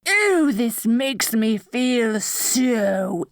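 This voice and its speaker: A woman's voice: on the high side, very animated, extremely slow, dry recording, clean studio sound, full character voice. A woman playing an adorkable evil sorceress queen in a scripted roleplay audio, petulant and gleefully wicked, sliding from theatrical gloating into casual chatter.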